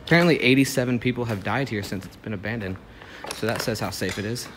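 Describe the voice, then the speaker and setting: Excitable young man, low voice, music underneath. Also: quick pace. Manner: excitable, filler-heavy, wide-eyed. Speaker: vlogger. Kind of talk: casual exploring vlog. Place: outdoors in an abandoned concrete building.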